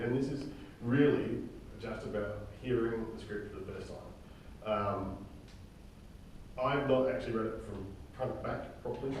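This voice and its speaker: A middle-aged man informally addressing a group, with jokey, self-deprecating asides. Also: mid-range voice. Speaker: director and co-writer introducing a table read. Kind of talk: informal spoken introduction to a group. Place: indoor room with group seated on chairs. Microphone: distant microphone. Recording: echoey room from far off, loud background noise.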